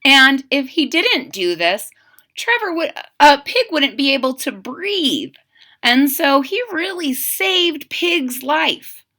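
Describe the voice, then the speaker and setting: Woman, children's read-aloud, high voice, unhurried pace, theatrical emphasis, explanatory asides. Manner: animated, theatrical, drawn-out emphasis. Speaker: children's story reader and channel host. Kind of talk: expressive picture-book read-aloud with questions to young listeners.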